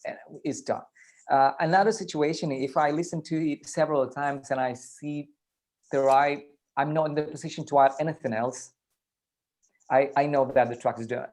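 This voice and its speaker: A man who produces music, talking in a casual panel chat in an earnest, self-deprecating, halting way. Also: fairly high pitch, fairly even intonation, a little mellow.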